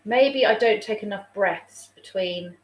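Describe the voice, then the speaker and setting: Childminder lady rambling away on a phone live stream, bit snuffly, mid-pitch, roomy echo. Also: measured pace. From England. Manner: chatty, rambling, reading out viewer comments. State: slightly snuffly, sore throat coming. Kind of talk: casual live stream chat. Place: room at home. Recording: roomy home room echo.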